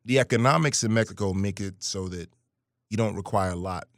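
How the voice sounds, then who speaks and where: A man's voice: fairly low pitch, a little fast, dry recording, studio quality. A man from Brooklyn and Guyana, a musician guest telling stories in a casual interview. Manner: animated storyteller, hums melody lines.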